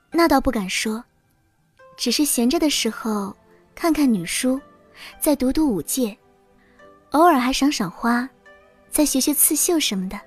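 A woman playing a meek, demure young princess consort in an audio drama, soft-spoken, careful and deferential. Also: sits high, animated, measured pace, long pauses, slightly rough, soft background music, full character voice. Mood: meekly attentive.

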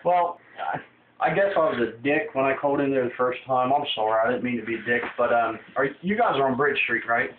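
A man's voice: medium pitch, a little fast. Young man rambling through tinny, noisy audio. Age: young adult. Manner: feigned confusion, folksy, rambling, mild swearing. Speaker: prank caller. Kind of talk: prank phone call complaint. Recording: tinny, noisy, roomy phone-camera recording.

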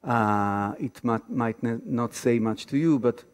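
Halting Israeli man in a reverberant hall. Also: medium pitch, clean tone. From Tel Aviv, Israel. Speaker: activist guest lecturer. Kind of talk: informal public lecture with personal storytelling. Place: on stage in a large theater auditorium.

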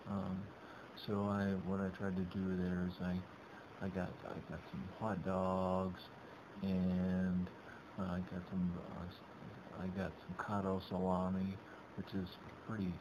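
A middle-aged man narrating his shopping trip in a halting, rambling way, full of restarts, over a webcam. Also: sits low, level tone, creaky. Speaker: vlogger. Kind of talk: casual narrated shopping vlog. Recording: dull lo-fi webcam audio with background noise.

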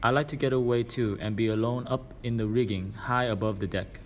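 A man's voice: medium pitch, fairly even intonation, brisk, a little hiss or hum. A man reading a children's storybook aloud as scripted narration. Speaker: reader.

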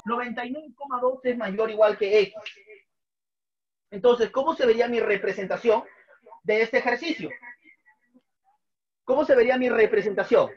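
A man's voice: very high-pitched, moderate pace, pauses often, husky, dull audio. A man in his thirties teaching math at a whiteboard in a small room, patiently repeating each step and putting questions to his students. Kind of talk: online class at a whiteboard.

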